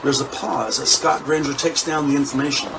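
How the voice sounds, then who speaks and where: A man's voice: fairly high pitch, fairly even intonation, fairly quick, gravelly, a loud crowd. A man reading scripted dramatic narration for an audio drama.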